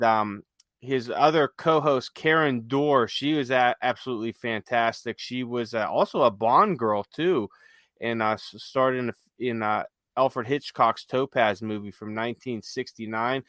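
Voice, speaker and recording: A man's voice: mid-range voice, moderate pace, fairly bright tone, dry recording. Man chatting about films, dry close sound. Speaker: podcast co-host. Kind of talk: casual film recommendation.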